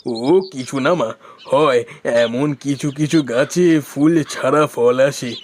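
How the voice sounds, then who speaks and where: Cartoon role: man as easy, soothing rural father who waves off worry, moderate pitch. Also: unhurried, close to an everyday voice. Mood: reassuring. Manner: calm, dismissive of worry. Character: calm, reassuring village father.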